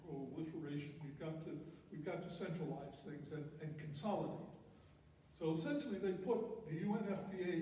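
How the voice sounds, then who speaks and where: A man's voice: on the high side, dark tone. An older man telling personal career stories to an audience in an emphatic way, often repeating words for effect. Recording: very echoey hall, distant mic, faint noise.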